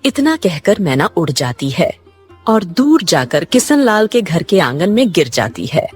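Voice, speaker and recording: A woman's voice: on the low side, very animated, measured pace, husky, faint music behind. A woman narrating a scripted cartoon moral story as a storyteller.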